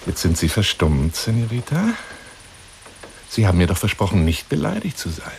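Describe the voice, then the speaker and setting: A man's voice: low-pitched, wildly swooping pitch, moderate pace, vocal fry, background noise, exaggerated voice. An actor playing an eccentric, obsessive lion hunter who woos a woman by telephone in an effusive, insinuating radio play monologue. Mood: insinuating, coaxing. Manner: effusive, insinuating, swinging between whispers and exclamations.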